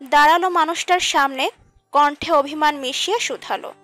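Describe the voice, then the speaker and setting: A female narrator tells a romantic story from a script. Her voice sits high for a woman. Music plays underneath the narration. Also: moderate pace, sharp tone. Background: background music.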